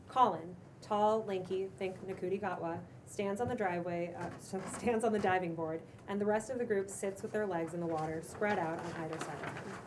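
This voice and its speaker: A woman narrating the stage directions of a script at a cold table read. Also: mid-range voice. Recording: distant mic, clearly noisy room.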